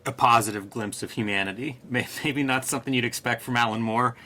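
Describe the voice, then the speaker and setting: A man with a mid-pitched, strikingly bright voice co-hosts a comics discussion. He comments casually and with enthusiasm, sounding appreciative and conversational. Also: fairly quick. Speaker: co-host of a comics review show.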